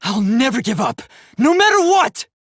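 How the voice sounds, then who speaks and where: A man's voice: very high-pitched, big pitch swings, moderate pace, fairly bright tone, slightly rough, no echo, clean studio sound, exaggerated voice. A man voicing an earnest, polite young male robot student in a dramatic anime game, with formal, precise, emphatic diction. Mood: determined, rousing. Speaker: video game voice actor.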